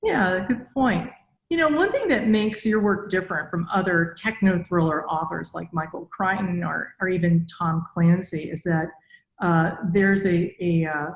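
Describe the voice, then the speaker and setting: A woman's voice: lowish voice, measured pace. Echoey American woman hosting a podcast. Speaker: podcast host, author. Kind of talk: casual interview hosting. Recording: roomy, echoey sound.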